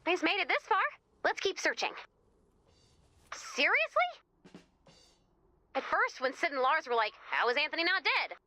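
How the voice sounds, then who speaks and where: A woman playing an eager, soft-hearted young guide in a video game, chatty and expressive in a conversational way. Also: high-pitched, moderate pace, long pauses, full character voice. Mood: wry, concerned.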